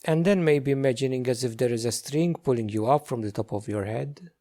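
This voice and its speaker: Male meditation teacher, dark relaxed tone, medium pitch, gentle instruction, frequent pauses. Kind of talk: guided meditation.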